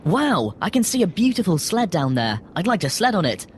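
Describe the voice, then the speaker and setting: A woman voicing a bold young boy in a fairy-tale audio story, emphatic and expressive. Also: fairly low pitch, a little fast, a little hiss or hum. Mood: bold, excited.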